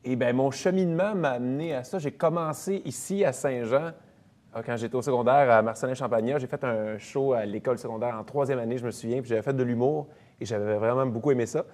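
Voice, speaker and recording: This is a man in his thirties with a Quebec French accent, a comedian and actor sitting in as an interview guest. He talks in a loose, chatty way and tells stories with a self-deprecating ease. His voice sits at an ordinary middle pitch for a man. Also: quick pace, a little mellow. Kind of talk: casual interview chat.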